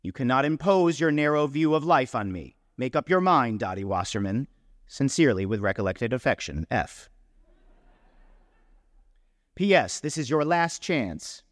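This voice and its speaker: A man's voice: medium pitch, expressive, normal speed, dry recording, clean studio sound, big-room sound, close to an everyday voice. A man voicing a self-righteous, wounded young man in a short story, declaiming a letter in a pompous, indignant, oratorical manner.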